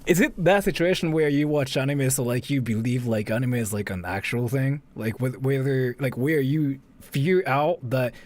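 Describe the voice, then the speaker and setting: A man chatting casually as a livestream host, rambling and haltingly repeating himself. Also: mid-range voice, darkish tone. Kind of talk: casual livestream chat and reaction commentary.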